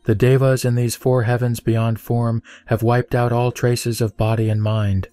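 A man giving a steady, even reading of a Buddhist scripture. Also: medium pitch, measured pace, clear, resonant tone, no echo. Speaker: sutra reciter. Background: soft background music.

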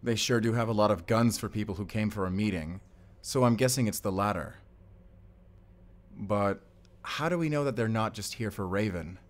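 A man's voice: fairly low pitch, moderate pace, close to an everyday voice. A man acting a wary, protective fighter on the run in an audio roleplay, questioning and urgent. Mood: suspicious, tense. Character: wary, suspicious fighter leading an escape, protective. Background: music.

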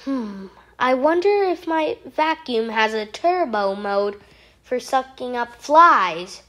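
A woman's voice: fairly high pitch, animated, takes it slowly, sharp tone, voice pushed down, exaggerated voice. A woman voicing a fussy, tidy frog who is dramatic and easily horrified, in a theatrical children's storybook read-aloud. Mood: worried. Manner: theatrical, exclaiming.

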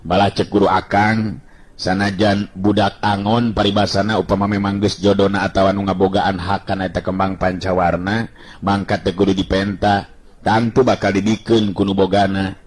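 A man voicing a calm, knowing guide in a radio story, explaining things seriously and cautiously in a measured way. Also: deep voice, breathy edge. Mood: earnest explaining. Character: calm, knowledgeable male guide, earnest and cautionary.

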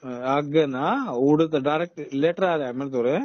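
A man with a Haryanvi accent talks on a recorded phone call, his voice a little high. He sounds like an official, insistent and indirect. The sound is dry and somewhat dull. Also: measured pace, no echo. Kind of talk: casual phone conversation.

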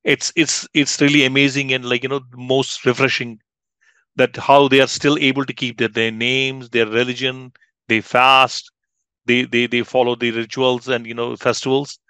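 A middle-aged man hosting an interview over a headset, asking long questions with halting repeats. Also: medium pitch, level tone, pushed and bright, clean tone, dry recording. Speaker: host. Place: small room with green wall. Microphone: headset on video call.

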